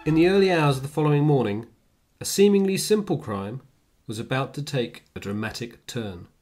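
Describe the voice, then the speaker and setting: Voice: medium pitch, lively intonation, brisk, darkish tone. Radio play narrator over light music. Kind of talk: scripted storytelling narration for radio drama. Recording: light guitar music behind.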